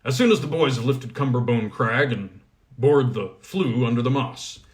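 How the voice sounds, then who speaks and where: A man doing a gruff goblin smith. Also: medium pitch, lively intonation, moderate pace, natural voice. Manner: brusque, commanding. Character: gruff powerful male goblin smith, blunt and testy.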